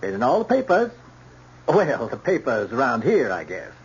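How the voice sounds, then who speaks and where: An actor playing a chatty, opinionated small-town hotel clerk with a raspy drawl, in radio drama style. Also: male voice, mid-range voice, huge pitch swings, moderate pace, lo-fi sound, full character voice. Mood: folksy, chatty. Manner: folksy, drawling dialect.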